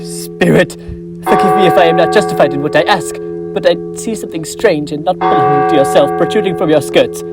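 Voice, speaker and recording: A man's voice: on the high side, brisk, natural voice. A young man playing an old miser in a school stage play, talking earnestly and imploring, with music behind. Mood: pleading. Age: teens. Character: old male miser Scrooge, fearful and pleading. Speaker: stage actor.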